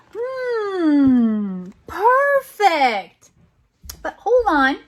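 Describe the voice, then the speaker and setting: A woman reading a picture book aloud to a young child as a teacher, with encouraging, exclamatory delivery. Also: high voice. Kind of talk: interactive children's storytime read-aloud.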